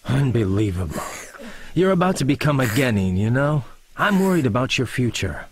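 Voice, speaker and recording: Man playing expressive, exasperated ninja teacher. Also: mid-range voice, normal speed, a little hiss or hum, close to an everyday voice. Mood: exasperated, concerned. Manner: scolding, earnest, weary concern. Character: exasperated, caring young adult male ninja teacher. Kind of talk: English anime game voice acting. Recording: music clearly behind the voice.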